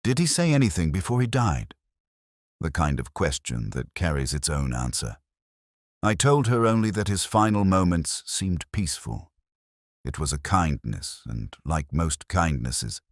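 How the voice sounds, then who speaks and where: A man reads scripted first-person detective fiction as narrator, in a measured, reflective, literary way. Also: a bass voice, no room sound, clean studio sound.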